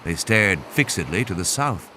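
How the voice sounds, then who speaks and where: A man reading a scripted dramatic audiobook in a measured, literary storytelling manner. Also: low-pitched, lively intonation, sharp tone, slight background noise. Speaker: audiobook narrator. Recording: constant sound-effect ambience behind voice. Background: music, sound effects and background ambience.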